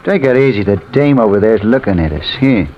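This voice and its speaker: A man's voice: fairly low pitch, expressive, a little fast, close to an everyday voice. A man playing a furtive little go-between in a radio drama, terse, slangy and guarded. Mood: sly, guarded. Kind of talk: 1950s radio drama acting. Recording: muffled old radio recording, clear noise.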